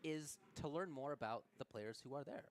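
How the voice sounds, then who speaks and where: A man commentating a live fighting-game tournament, enthusiastic and analytical, speaking in quick bursts. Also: medium pitch. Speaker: esports commentator.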